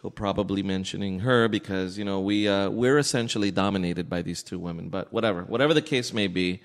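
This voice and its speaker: A man's voice: low voice, brisk. An American man co-hosting a podcast, talking in a casual, opinionated and emphatic way. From Miami area, United States. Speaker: podcast co-host. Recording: echoey room with long reverb.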